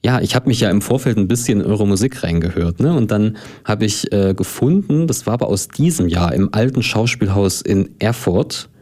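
Mellow low-voiced male radio host. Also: animated, normal speed, hardly any pauses. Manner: easygoing, chatty questions with fillers. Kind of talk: radio interview. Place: radio studio.

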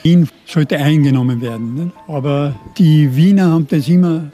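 Older man, Burgenland Croatian-tinged Austrian accent, mellow dark voice, halting restarts, faint music underneath. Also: a little high. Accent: Burgenland Croatian-influenced Austrian German. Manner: halting, many restarts and repeated words. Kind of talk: conversational interview answers.

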